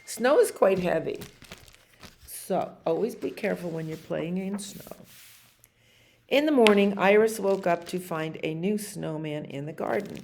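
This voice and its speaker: Female storyteller, 60s, fairly low pitch, very unhurried, expressive read-aloud with conversational asides to young listeners. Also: vocal fry, slight background noise. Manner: expressive, explains pictures, asks listeners questions. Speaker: library storyteller reading picture books to children. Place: library activity room, indoors.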